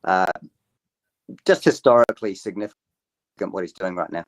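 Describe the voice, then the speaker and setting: A man guesting on a sports podcast over a remote call, rambling and halting as he reels off stats. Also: low-pitched, no echo. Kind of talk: casual sports podcast chat. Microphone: remote call mic.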